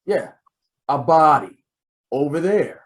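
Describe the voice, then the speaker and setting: A man playing a brusque, exasperated, wisecracking police detective in an old-time radio comedy mystery, gruff and sardonic. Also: a little high, animated, takes it slowly. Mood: suspicious. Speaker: voice actor.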